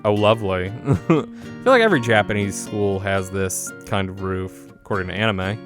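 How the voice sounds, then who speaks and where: A man's voice: lowish voice, lively intonation, moderate pace, over music. A man streaming a game, reading its story aloud in a casual but theatrical storytelling manner. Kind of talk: casual read-aloud narration of a visual novel.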